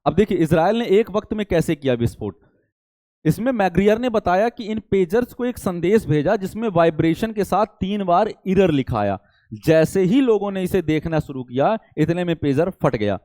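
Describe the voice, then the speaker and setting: Man, teacher, explanatory lesson, didactic, rhetorical questions, mellow timbre, dry close sound. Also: high-pitched, expressive, moderate pace, dry recording. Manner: didactic, frequent check-in tags, rhetorical questions. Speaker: teacher for competitive exam students. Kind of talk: explanatory current affairs lesson.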